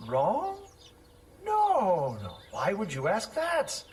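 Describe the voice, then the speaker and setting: An actor playing a fussy, lovestruck, pompous young schoolmaster in an audio drama, with theatrical, precise diction. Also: male voice, sits high, huge pitch swings, drawn-out words, full character voice. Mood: excited. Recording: faint background noise.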